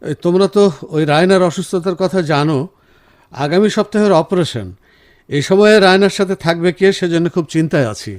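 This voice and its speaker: A man playing a caring, reassuring husband in a radio drama, speaking in an earnest, measured way. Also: sits high, no echo, studio quality, lightly acted. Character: caring, reassuring husband, senior professional, firm but gentle. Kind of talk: Bengali radio drama acting.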